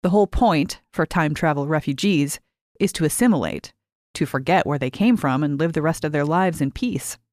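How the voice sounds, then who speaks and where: A woman reading short fiction as a scripted narration, measured and clearly articulated. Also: deep voice, a little mellow, no room sound, studio quality. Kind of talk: scripted audiobook-style narration.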